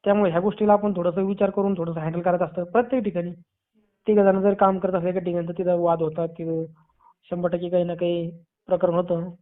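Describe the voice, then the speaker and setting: A man's voice: sits high, little pitch movement, fast talker. A man with a Marathi accent talking casually on a tinny phone call, reassuring and advising, often repeating his phrases. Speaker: friend giving reassurance. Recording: narrow tinny phone line.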